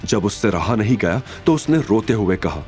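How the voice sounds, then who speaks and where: A man narrating a scripted drama story in an expressive, suspenseful storytelling style. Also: on the low side, normal speed, soft background music.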